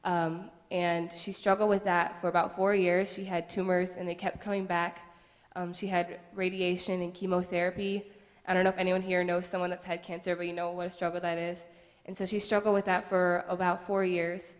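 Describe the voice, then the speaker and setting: A woman's voice: lowish voice, flat delivery, moderate pace. A woman of about nineteen from Connecticut giving an earnest, plain personal testimony during a church service. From Connecticut, USA. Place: church sanctuary. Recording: long echo of a church hall.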